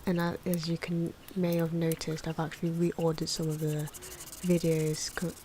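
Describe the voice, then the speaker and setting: Soft, low-pitched whispery woman, even tone. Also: moderate pace. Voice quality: soft, breathy, often dropping into whisper. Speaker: ASMR video creator narrating own drawing. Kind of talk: soft-spoken ASMR drawing commentary. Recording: noisy, pencil scratching and ambient sound. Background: pencil and pen noises, outdoor wind.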